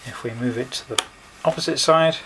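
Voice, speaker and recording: A man giving a hands-on product review, explaining step by step in short, unhurried phrases, indoors at a desk. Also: medium pitch, vocal fry. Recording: noisy home recording with clicks.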